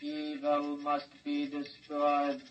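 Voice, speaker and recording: A man playing a childlike, obedient robot in a 1950s radio drama, speaking in a stilted, literal monotone. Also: on the high side, takes it slowly, soft background music. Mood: flat, obedient. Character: childlike robot, flat, obedient, coldly learning to kill. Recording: dull vintage 1950s radio recording.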